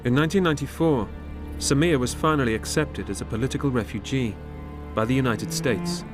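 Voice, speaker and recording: Male narrator for serious documentary, mid-range pitch, measured and grave scripted read, laid over music. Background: music under the voice.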